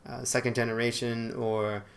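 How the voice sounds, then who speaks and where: A man's voice: medium pitch, little pitch movement, normal speed, gravelly. A man hosting an interview, asking casual questions that he rephrases as he goes, with plenty of fillers.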